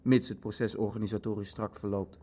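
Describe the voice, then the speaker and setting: A man's voice: on the low side, steady tone, a little fast, warm tone, slightly rough. A man playing an eager, businesslike Nazi official in a Dutch radio play, matter-of-fact as he calculates. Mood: businesslike, calculating.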